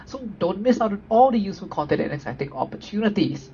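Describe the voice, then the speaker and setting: A woman's voice: mid-range voice, animated, quick pace, dark tone. A woman hosting an online webinar, presenting slides upbeat and often adding "right?" to her points. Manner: upbeat, frequent tag questions. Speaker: webinar host. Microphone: video call. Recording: dull lo-fi audio with faint noise.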